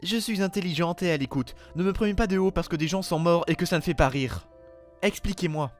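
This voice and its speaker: A man's voice: sits high, brisk, pitched above the performer's own voice, lightly acted. A man voicing an insistent, clever young woman who questions impatiently, in an amateur fandub. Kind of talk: amateur French fandub acting. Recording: home dub over background music.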